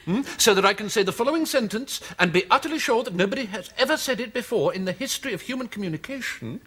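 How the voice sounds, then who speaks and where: An actor playing a pompous, rambling middle-aged pundit who talks about language in florid, grandiose phrases. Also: male voice, high-pitched, animated, quick pace, a bit bright. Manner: florid, grandiose, self-delighted. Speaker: sketch comedy character. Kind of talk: studio sketch comedy.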